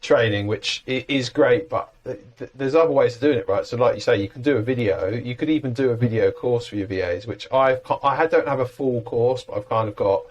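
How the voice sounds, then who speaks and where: A man's voice: medium pitch, brisk, few pauses, darkish tone, muffled recording. A man hosting a podcast interview, conversational and hesitant, with stammered restarts. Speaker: podcast host.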